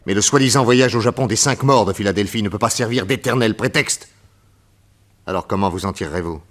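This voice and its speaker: A man's voice: fairly low pitch, fairly quick, fairly bright tone. An actor voicing a composed, firm male detective who questions tersely in a radio drama. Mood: grim.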